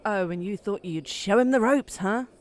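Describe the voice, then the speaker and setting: A woman's voice: mid-range voice, moderate pace, close to an everyday voice. A woman playing a guarded, sarcastic but kind young woman in an audio drama, speaking in a casual, wry way.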